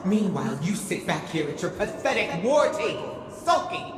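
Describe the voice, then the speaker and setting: A woman playing a fierce, indignant leader in a video game cutscene, speaking in a sharp, imperious, confrontational manner. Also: fairly low pitch, sing-song, normal speed. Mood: angry, bitter. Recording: echoing large hall, loud ambient noise.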